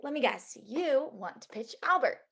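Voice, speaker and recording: Woman playing sardonic, high-voiced assistant. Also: sing-song, normal speed, vocal fry, breathy edge, exaggerated voice. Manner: wry, teasing. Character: blunt, sardonic, flirty female assistant in comedy. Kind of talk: comedy screenplay table read. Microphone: video call.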